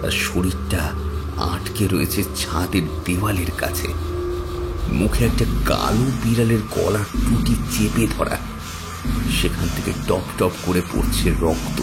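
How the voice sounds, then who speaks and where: A man with a low voice reads a horror tale as narrator. His delivery is dramatic and scripted, with loud music running behind it. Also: measured pace. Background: loud horror background music.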